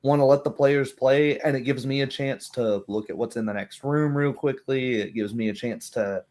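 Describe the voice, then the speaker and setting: A man in a casual, off-the-cuff chat. He speaks as a tabletop game master, with a middling pitch, and slips into animated quotes of imagined players. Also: moderate pace.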